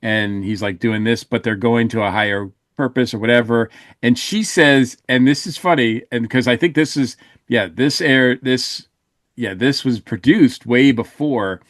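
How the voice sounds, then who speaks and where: A man gives a casual review in a medium-pitched voice. He rambles along with emphatic enthusiasm. The sound is dry and close, without room echo. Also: unhurried. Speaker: podcast panelist reviewing audio dramas.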